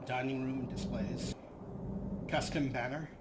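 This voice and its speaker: A man's voice: mid-range voice, steady tone, a little slow, very noisy. A man hosting a video game let's play, giving commentary as he goes. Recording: loud game ambience behind voice.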